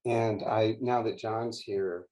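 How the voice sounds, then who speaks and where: An older man, a poet guest, speaking in a measured, halting way on a video call from a home study lined with books. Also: fairly low pitch, fairly even intonation, darkish tone, poor audio.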